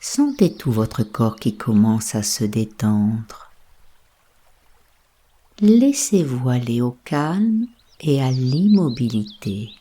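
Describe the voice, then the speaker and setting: A woman guides a bedtime relaxation with a low, mellow voice. She speaks very slowly and softly, with breath in the tone. Her delivery is hushed and soothing, leaving space between instructions. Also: very animated. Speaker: guided meditation teacher. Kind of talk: slow guided yoga nidra sleep meditation.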